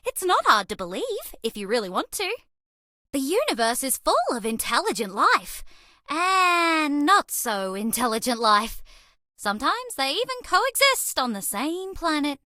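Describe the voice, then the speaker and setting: Casting: eager, quirky young alien mouse girl for a game, sweet-natured, with very lively intonation and a close, dry sound. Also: sits high, unhurried, no echo, heavily acted. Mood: chirpy, playful. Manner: bubbly, earnest, quirky, affectionate. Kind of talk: dating game character voice lines.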